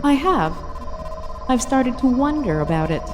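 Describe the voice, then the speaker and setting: A woman's voice: high-pitched, sing-song, unhurried, noisy background. A woman playing a cool, analytical senior starship officer in a sci-fi audio drama, dry and precise in manner. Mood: sceptical. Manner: dry, precise, matter-of-fact.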